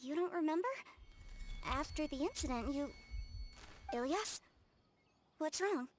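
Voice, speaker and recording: A woman's voice: high-pitched, lively intonation, unhurried, a little hiss or hum. A woman playing a caring, protective young sister in a video game, talking in a casual, familiar way. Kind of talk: scripted video game dialogue. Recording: background game music.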